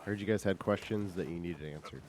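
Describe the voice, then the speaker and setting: A man with a hoarse, scratchy voice chatting casually about games as a guest on a stream. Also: low-pitched, a little fast. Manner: relaxed, joking. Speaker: guest staff editor. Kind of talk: casual game chat.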